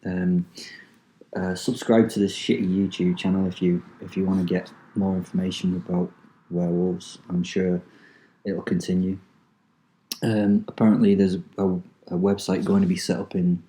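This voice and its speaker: A man's voice: sits low, little pitch movement, normal speed, mellow, rough recording. A man with a Manchester accent, hosting a podcast, rambling casually with swearing and lots of ums, then reading out a story he wrote. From England. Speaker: podcast host.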